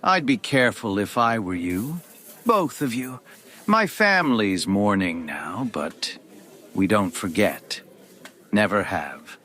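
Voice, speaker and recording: Cold rich villain in his 40s, noir podcast drama, lowish voice, very expressive pitch, smug and threatening. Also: takes it slowly, full character voice. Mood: veiled menace. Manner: smug, controlled, veiled threats. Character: cold, menacing rich man in his mid-forties. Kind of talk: audio drama dialogue.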